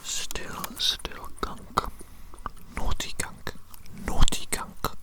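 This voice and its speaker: A performer voicing a fussy, obsessive evil doctor in an ASMR medical roleplay, whispering breathily in a repetitive, theatrical, doting way. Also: very slow. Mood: fussy, dismayed.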